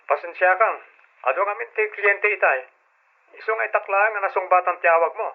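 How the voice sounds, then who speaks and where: An actor with an Ilocano Filipino accent playing a hesitant, evasive young boyfriend in a radio drama. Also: male voice, high voice, a little fast. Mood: evasive, polite. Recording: muffled, noisy old radio drama.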